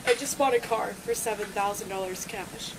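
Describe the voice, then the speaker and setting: A woman's voice: medium pitch, normal speed. A woman in her twenties, tipsy and upset, rambling and swearing to a police officer. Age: 20s. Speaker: bar patron in a police stop. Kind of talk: emotional rambling talk. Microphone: police body camera. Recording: noisy body camera audio.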